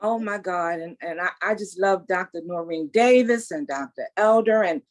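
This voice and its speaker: A woman's voice: fairly low pitch, moderate pace. A woman in her sixties, a guest telling her story in an emphatic, faith-filled way. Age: 60s. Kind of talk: casual interview, testimony.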